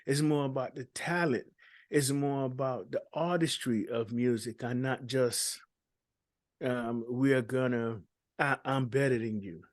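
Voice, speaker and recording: A man's voice: medium pitch, fairly even intonation, measured pace, no room sound, clean studio sound. A man speaking as a dancehall artist in an interview, talking casually with many ums and restarts.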